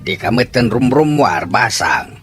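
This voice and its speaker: Actor as frank Filipino uncle, unhurried, forceful bright tone, radio drama, faint music. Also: male voice, medium pitch, expressive. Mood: frank, reproving. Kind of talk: radio drama acting in Ilocano.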